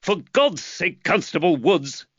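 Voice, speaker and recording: A man's voice: high-pitched, sing-song, slow, husky, no echo, lo-fi sound, voice pushed up, heavily acted. A man voicing an exasperated, short-tempered magistrate who snaps out orders in an audiobook. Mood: angry. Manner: snappish, commanding. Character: exasperated, irascible male magistrate barking orders at his officers.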